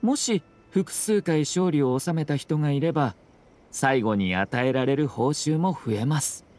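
An actor playing a composed young nobleman in an anime video game, speaking with polite, formal, measured phrasing and a subtly teasing slyness. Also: a little high, lively intonation, darkish tone. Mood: composed explaining.

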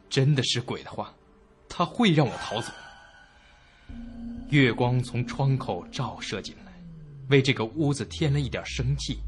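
A man's voice: medium pitch, lightly acted. An actor playing an uneasy young man, telling a ghost story in a suspenseful, dramatic first-person style. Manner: suspenseful, expressive. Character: uneasy young man narrating his haunted inn ordeal.

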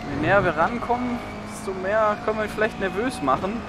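Casual racing streamer, high pitch, a bit congested from a cold, thinking out loud over loud car noise. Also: male voice, animated, brisk. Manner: thinks aloud, calculating fuel and laps. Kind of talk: live racing commentary while driving. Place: home streaming setup, indoors. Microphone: headset. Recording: loud engine noise from game.

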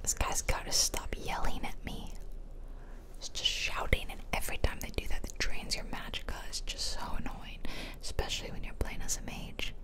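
A woman whispering casual, chatty ASMR commentary over a video game, close to the microphone. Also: moderate pace. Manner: casual, chatty asides to viewers. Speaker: gaming video host. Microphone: close-up microphone, ear-to-ear whispering.

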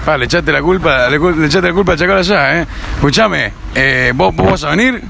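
A young man with an Argentine Spanish accent teases passers-by on a busy street, his voice fairly high with a gravelly edge. He talks brashly into a handheld phone, and loud city traffic runs behind him. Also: lively intonation, a little fast. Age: young adult. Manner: joking, teasing, brash. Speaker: street prank vlogger. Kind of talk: casual street interviews. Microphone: handheld phone held up to mouth.

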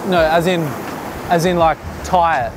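A man's voice: on the high side, measured pace, noisy background. Chatty man directing a beach photo shoot. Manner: relaxed, chatty, full of fillers and restarts. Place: open sandy beach at sunset, outdoors. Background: music.

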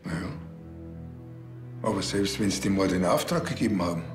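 A man's voice: deep voice, lively intonation, moderate pace, music in the background. An actor playing a cocky, stubborn village policeman with a Bavarian accent, talking in a colloquial, matter-of-fact way. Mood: earnest, self-assured. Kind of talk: naturalistic comedy-crime dialogue.

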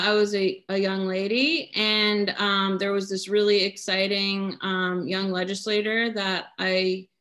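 A woman in her thirties from Vermont, chairing a community chapter meeting and speaking from home over a video call with many filler pauses. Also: mid-range voice, a bit bright. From Vermont, USA. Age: 30s. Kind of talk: casual meeting remarks and introduction. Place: home room at night, lamp-lit. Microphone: laptop webcam on a video call.

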